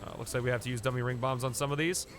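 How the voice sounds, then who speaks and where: A man's voice: mid-range voice, steady tone, racing, noisy background. A man live-streaming a game, chatting casually and thanking viewers by name, a bit sniffly from a coming cold.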